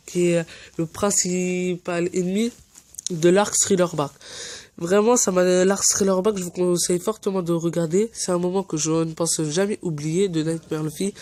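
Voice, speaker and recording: A man hosting a fan channel, stuffy from a cold, giving casual, earnest commentary that often pauses to search for words. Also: high voice, darkish tone, no room sound. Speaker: anime fan channel host.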